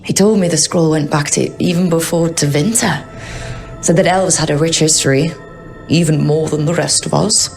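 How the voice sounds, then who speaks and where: A voice actor as a gravelly, sarcastic elf rogue. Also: medium pitch, fairly even intonation, moderate pace, a little mellow, faint music behind, close to an everyday voice. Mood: reflective. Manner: wry, sarcastic, joking. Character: sarcastic young elf adventurer, reckless rogue.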